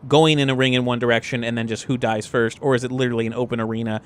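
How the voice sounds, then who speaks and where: A man's voice: mid-range voice, little pitch movement, very fast. A man playing a tabletop game, talking casually as he reasons things through and explains his thinking.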